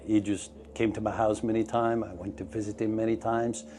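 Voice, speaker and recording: Middle-aged man, low and mellow. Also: little pitch movement, a little fast. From Belgium. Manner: eager storyteller, slightly broken English. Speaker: museum founder. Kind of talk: on-location interview. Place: museum, indoors.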